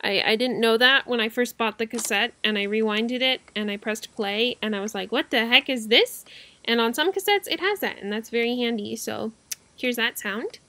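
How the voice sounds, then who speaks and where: A woman's voice: fairly high pitch, normal speed, bright, forceful tone. A young woman chatting casually and informally to viewers as she hosts a how-to video, in a giggly, rambling manner. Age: young adult. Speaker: YouTube host, cassette collector. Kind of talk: casual tutorial chat to viewers. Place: small bedroom, indoors.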